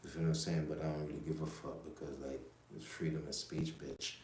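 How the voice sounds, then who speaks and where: A man from Jamaica with a very deep, dark, flat voice rambles through a profane livestream monologue. He leans on slang and keeps checking in with his listeners. The sound is roomy and echoey, with a faint hiss underneath. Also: brisk. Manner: rambling, profane, streetwise, repeats "you know what I'm saying". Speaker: livestream host.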